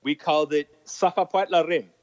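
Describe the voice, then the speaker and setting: A man's voice: a little high, steady tone, fairly quick, darkish tone, dry recording, lo-fi sound. A man from Nova Scotia with an Acadian French accent, French his first language, chatting as an interview guest and laughing at his own jokes. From Nova Scotia, Canada. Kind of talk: casual storytelling interview.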